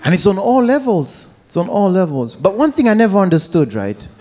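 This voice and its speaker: A young man performing a stand-up set on a club stage into a handheld mic, animated and switching between voices. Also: high-pitched, a little fast. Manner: animated, slips into Romanian voices. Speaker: stand-up comedian. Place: comedy club stage with live audience.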